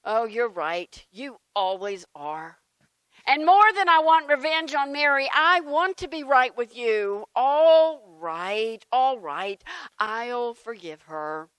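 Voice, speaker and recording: A woman plays a defensive, easily flustered churchgoer talking to God in a comic reading. The voice is high and clear, with big swings and an unhurried pace. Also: no room sound, heavily acted. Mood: humbled. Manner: animated, indignant, exclaiming.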